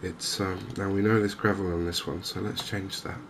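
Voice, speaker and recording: A softly spoken man giving hesitant, unhurried ASMR-style commentary over a video game. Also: low voice, little pitch movement. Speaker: gaming video host. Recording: clear game ambience noise behind voice. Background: outdoor wildlife ambience from a fishing game.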